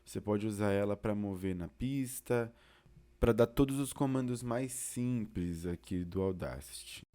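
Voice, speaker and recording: Brazilian man, mellow low-voiced tutorial. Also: measured pace. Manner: casual, explanatory, uses diminutives. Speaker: instructor. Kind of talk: informal software tutorial.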